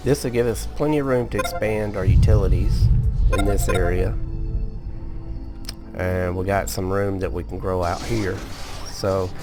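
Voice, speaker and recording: Sleepy low-voiced man narrating gameplay. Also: unhurried, music in the background. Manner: relaxed, talks through each step with restarts. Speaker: gaming video host. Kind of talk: casual let's-play commentary.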